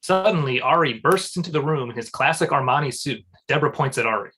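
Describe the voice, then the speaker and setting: A man's voice: medium pitch, quick pace, bright, forceful tone, slightly rough. A man reading stage directions as scripted narration at a comedy table read.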